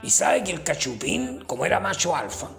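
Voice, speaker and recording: A man's voice: high-pitched, very animated, normal speed, vocal fry, a bit bright, soft background music, exaggerated voice. An actor playing a rascally old Chilean working man and former gravedigger, tipsy, with a Chilean accent, telling folksy slangy boasts. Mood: boastful. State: tipsy from drinking. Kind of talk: comic character interview.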